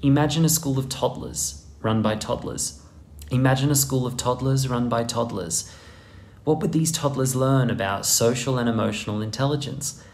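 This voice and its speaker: A man's voice: mid-range voice, slight background noise. A man, narrating a scripted video essay in an earnest, emphatic way, recorded close to the camera in a small room. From Netherlands. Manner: earnest, emphatic, deliberate delivery. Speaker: horse trainer presenting a video essay. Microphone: close camera framing, head and shoulders.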